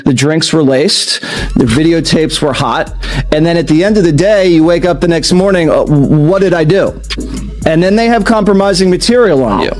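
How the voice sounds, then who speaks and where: Higher-voiced man explaining over faint music. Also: moderate pace. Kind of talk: explanatory talk.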